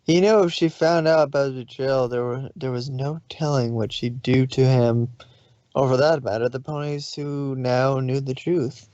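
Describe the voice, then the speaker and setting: Woman narrator, medium pitch, expressive story reading, close dry sound, slightly dull audio. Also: normal speed, no echo. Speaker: fan fiction narrator. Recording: slightly dull home recording.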